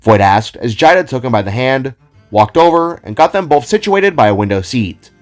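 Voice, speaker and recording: A man giving expressive scripted narration as the storyteller of an audio drama. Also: mid-range voice, moderate pace. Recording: music behind the voice.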